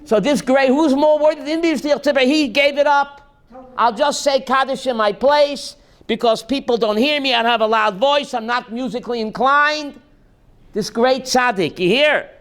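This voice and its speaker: A rabbi teaching a class, reading text slowly and then explaining it in while quizzing his students. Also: extremely high pitch, fairly bright tone, clean tone. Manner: reads Hebrew text aloud slowly, then explains in English, quizzing listeners.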